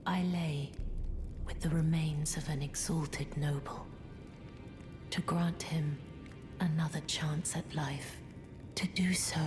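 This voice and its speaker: Melancholy, gentle maiden for a fantasy game: near-whisper, very unhurried, low and courteous. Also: female voice. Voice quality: soft, breathy near-whisper. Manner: slow, hushed, pleading courtesy. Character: gentle, sorrowful female deathbed companion, courtly and intimate. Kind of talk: video game voice acting.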